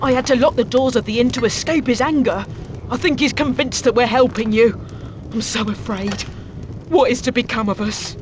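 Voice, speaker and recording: An actress voicing a frightened widowed innkeeper in a game cutscene, pleading and fearful, sometimes dropping to a whisper, over loud game music. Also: female voice, a little high, normal speed, slight background noise, lightly acted. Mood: afraid.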